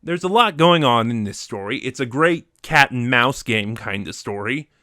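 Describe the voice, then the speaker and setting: A man's voice: medium pitch, expressive, moderate pace, pushed and bright, no echo. Man giving a casual review, dry close sound. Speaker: reviewer ranking favorite audio dramas.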